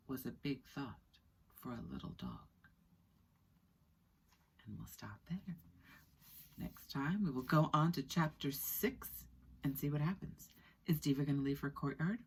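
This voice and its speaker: A woman with a strikingly low voice reads a children's story aloud. Her delivery is expressive and deliberate, paced for young listeners. A faint noise sits behind her in the room. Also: low-quality recording. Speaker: storyteller reading a children's book. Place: small indoor room with skylight.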